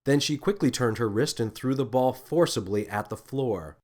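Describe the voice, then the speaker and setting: A man narrating a scripted children's story in an expressive, deliberate storytelling manner. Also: medium pitch. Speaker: author and narrator.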